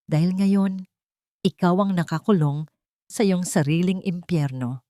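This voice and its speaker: A woman's voice: fairly low pitch, unhurried, mellow, dry recording, studio quality, lightly acted. A woman voicing a vengeful wronged wife in an audio drama story, cold, defiant and emphatic in her accusations.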